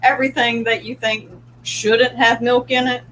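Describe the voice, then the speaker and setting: An easygoing young woman with a fairly high voice chats on a casual livestream, agreeing readily and letting out small chuckles. A faint hum sits under her voice. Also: flat delivery, moderate pace, fairly bright tone, rough recording. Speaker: co-host, host's wife.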